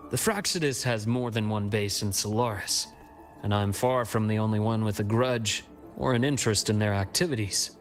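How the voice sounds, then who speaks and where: An actor playing a composed, shrewd investigator in a video game, speaking in a measured, analytical way. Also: male voice, lowish voice, faint music behind, lightly acted. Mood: measured, cautious. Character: composed, shrewd male investigator sharing intelligence. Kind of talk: scripted video game dialogue.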